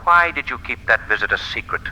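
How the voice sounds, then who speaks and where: An actor playing a menacing invisible avenger in a 1940s radio drama, speaking in a sinister, deliberate, commanding way. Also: male voice, mid-range voice, expressive, sharp tone. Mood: commanding, probing. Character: menacing invisible mystery avenger, hypnotic man. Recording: noisy old radio recording.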